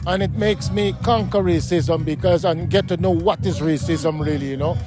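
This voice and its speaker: A man's voice: on the high side, moderate pace, music in the background. A middle-aged Guyanese man being interviewed on a city street, talking emphatically and often asking "you know what I mean?". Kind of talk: street interview, casual talk.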